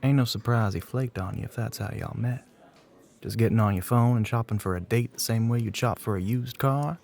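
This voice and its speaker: A man playing a flirty, middle-aged bartender with a Southern US accent and a low, gravelly voice, teasing in a folksy way in an ASMR roleplay. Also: brisk, darkish tone, natural voice. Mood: wry, teasing. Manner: drawling folksy terms of endearment, teasing.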